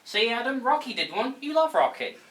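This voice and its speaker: A man's voice: very high-pitched, sing-song, fairly quick, a bit bright, far from the mic. A man doing a casual, teasing comedic script read with friends. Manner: teasing, banter-like. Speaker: host and table read performer. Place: indoor room, group table read. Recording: faint room noise.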